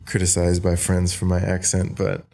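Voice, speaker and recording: A young man in his twenties with an American accent, raised in Australia, speaks softly as a podcast guest. His very low voice has a gravelly edge and a dark, mellow tone. He pauses often to think, filling gaps with ums. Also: completely flat delivery. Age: 20s. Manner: soft-spoken, thoughtful, with frequent ums. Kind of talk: casual interview talk.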